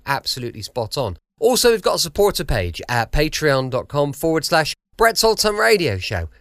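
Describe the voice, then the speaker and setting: A man's voice: a little high, a bit bright, no room sound, clean studio sound. A British man hosting a podcast in a casual, chatty, rambling and colloquial way. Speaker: podcast host. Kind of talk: casual chatty show introduction.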